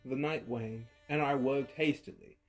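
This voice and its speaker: Guy doing a slow dramatic story reading, hushed then loud, soft music under it. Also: medium pitch. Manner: theatrical, swings from hushed to shouting. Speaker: narrator. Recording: faint music behind.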